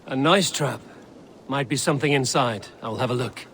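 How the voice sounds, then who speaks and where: An actor playing a wary, dry-witted Viking warrior in a video game, terse and dry, over outdoor noise. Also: male voice, mid-range voice, normal speed, close to an everyday voice. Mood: curious. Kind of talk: cinematic video game acting. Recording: loud water and outdoor ambience.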